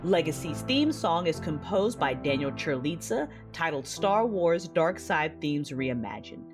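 A woman narrating a fan audio drama in the first person, her delivery soft and often hushed. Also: deep voice, very animated, measured pace, music in the background. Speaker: writer, director and narrator.

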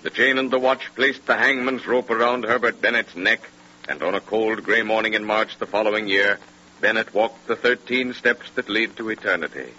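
A man's voice: on the low side, moderate pace, pushed and bright. A man narrating a scripted 1930s radio drama in a grave, theatrical manner. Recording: noisy, dull 1930s radio recording.

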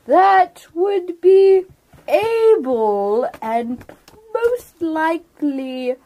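Painfully slow, high-voiced old turtle. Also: female voice, dark tone, full character voice, low-quality recording. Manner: drawn-out, very slow delivery with long pauses. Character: slow-talking elderly turtle, unhurried and gentle.

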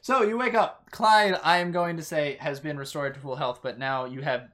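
A man's voice: fairly high pitch, moderate pace, pushed and bright. A man acting as game master, narrating a tabletop game in a casual, conversational style.

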